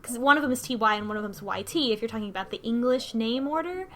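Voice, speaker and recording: A woman talking casually in a group discussion, recapping a game in a halting way and often restarting mid-thought. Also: fairly high pitch, lively intonation, pushed and bright. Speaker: podcast host recapping a visual novel.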